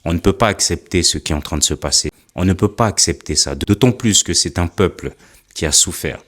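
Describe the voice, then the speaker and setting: A man's voice: sits low, fairly quick, a bit bright. A man delivering earnest spoken commentary, building his point through repeated rhetorical questions. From Africa.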